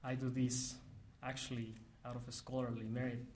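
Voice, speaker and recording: A man from Mexico, giving an academic lecture in an earnest, measured way with frequent restarts. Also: mid-range voice, flat delivery, a little mellow, slight background noise.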